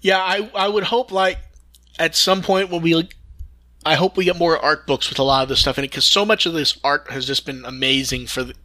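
A man with a fairly high, very bright voice hosts a casual fan news discussion. He talks enthusiastically and rambles as he goes through items. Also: fairly even intonation, a little fast. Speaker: podcast host.

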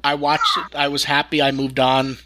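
Bright-voiced man hosting a podcast. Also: on the high side, flat delivery, moderate pace. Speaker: podcast host. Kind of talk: casual news discussion on a podcast.

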